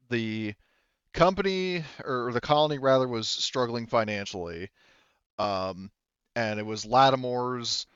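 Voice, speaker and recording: A man running a tabletop roleplaying game, improvising his narration in a casual, halting, sweary way. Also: medium pitch, fairly bright tone, smooth, dry recording, highs cut off. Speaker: game master.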